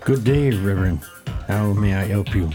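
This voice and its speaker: A man plays a deferential island innkeeper for radio theatre. His low voice is easygoing, with clipped grammar, and music is clearly heard behind it. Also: moderate pace, natural voice. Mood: courteous.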